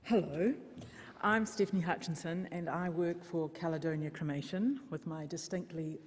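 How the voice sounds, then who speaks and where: A woman with a New Zealand accent who works for a funeral service, explaining it clearly at an open mic conference talk with light self-mockery. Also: lowish voice, normal speed, darkish tone, slight background noise. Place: church hall conference. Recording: long reverb of a large hall.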